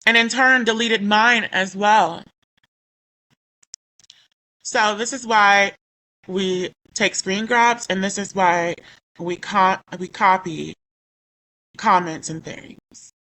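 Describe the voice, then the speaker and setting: A woman's voice: medium pitch, steady tone, takes it slowly, long pauses, pushed and bright, low-quality recording. A woman recovering from losing her voice, talking indignantly in a commentary vlog and reading her own comments aloud, often correcting herself mid-sentence. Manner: indignant, rambling with self-corrections. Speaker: YouTuber.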